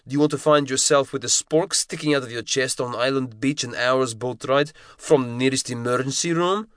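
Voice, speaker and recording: A man voicing a giant, abusive Russian parachute instructor who yells and spits as he scolds. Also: medium pitch, moderate pace, clean tone, no room sound, clean studio sound, voice pushed up, close to an everyday voice. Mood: scolding. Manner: yelling, abusive, spitting.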